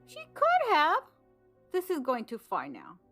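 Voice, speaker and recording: An actress playing a protective, anxious mother in an audio drama, expressive and full of concern. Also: high voice, measured pace, exaggerated voice. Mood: alarmed, scolding. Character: protective, anxious mother, tender with her child. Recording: music under the voice. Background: music.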